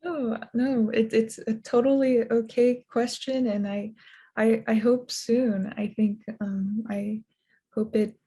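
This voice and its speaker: A woman author and translator answering interview questions in a thoughtful, measured way. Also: on the high side, level tone, dark tone, breathy edge.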